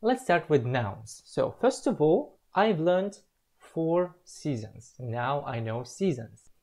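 A young man from Russia, explaining the words he has learned slowly and carefully in a self-recorded lesson at home on a webcam. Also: a little high, very animated, darkish tone. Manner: slow, careful, drawn-out word-by-word explanation. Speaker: language learner presenting his progress.

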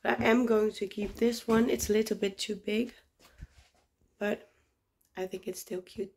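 A woman's voice: mid-range voice, steady tone, a little slow, gravelly. A young Dutch woman in her twenties with a Dutch accent, chatting casually to her phone camera at home and laughing at herself. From Netherlands. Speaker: vlogger. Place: small apartment indoors. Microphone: phone held at arm's length.

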